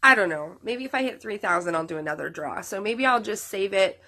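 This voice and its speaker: Thirties woman, sick, nasal and bright, rambling casual vlog chat to viewers. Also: mid-range voice, animated, a little fast. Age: 30s. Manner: rambling, with many fillers and restarts. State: sick, losing her voice. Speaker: vlogger.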